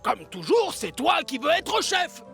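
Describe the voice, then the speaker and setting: A grumbling cartoon locomotive. Its very high voice is gravelly and swings about, sulky and quarrelsome. Faint music lies behind it. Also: male voice, wildly swooping pitch, a little slow, full character voice. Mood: resentful. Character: grumbling, resentful male locomotive.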